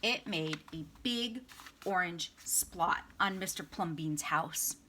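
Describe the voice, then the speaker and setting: A woman reading a children's picture book aloud as a storytime reader, with expressive, dramatic emphasis. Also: fairly low pitch, slow pace, background noise.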